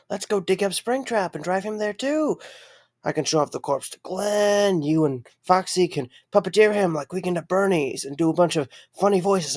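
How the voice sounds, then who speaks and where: A man's voice: on the high side, no echo, clean studio sound. A man playing a sarcastic, easygoing young night guard in a conversational audio drama. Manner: wry, conversational.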